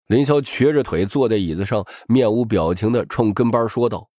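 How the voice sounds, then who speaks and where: A man's voice: lowish voice, normal speed, warm tone, no room sound, clean studio sound. A man narrating a novel as a dramatic scripted audiobook.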